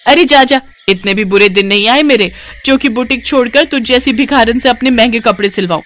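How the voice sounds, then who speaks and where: A woman's voice: a little high, brisk, fairly bright tone, close to an everyday voice. A woman voicing a snobbish rich lady who scornfully drives a beggar away. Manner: scornful, dismissive.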